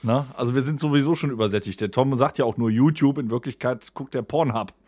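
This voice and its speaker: A man's voice: medium pitch, quick pace, a little mellow, no room sound. A man with a German accent co-hosting a casual podcast with teasing, dry-humoured banter. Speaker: podcast co-host.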